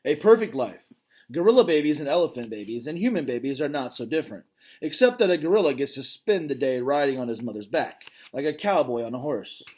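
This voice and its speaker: Animated dad reading a storybook aloud. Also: male voice, medium pitch, fairly quick. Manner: expressive reading, breaks off to comment directly to listeners. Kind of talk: reading a children's novel aloud with chatty asides.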